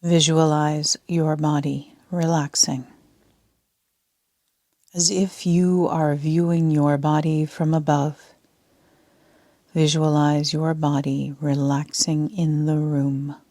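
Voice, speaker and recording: A woman leading a sleep meditation as a yoga nidra instructor, speaking in a soft, half-whispered, calm and soothing way. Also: sits low, slow pace, no room sound, clean studio sound.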